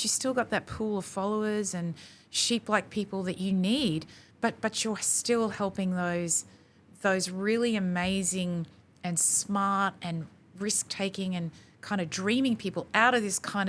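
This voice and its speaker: An Australian woman in her forties talking in a casual podcast conversation, animated and acting out her own quotes. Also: medium pitch, slow pace, slight background noise. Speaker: podcast guest.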